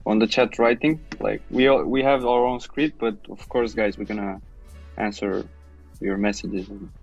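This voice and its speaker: A young man from Romania speaks in a low, easygoing voice as co-host of a casual live stream. He chuckles as he talks. The audio is dull and lo-fi, with faint music underneath. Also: steady tone, moderate pace, vocal fry.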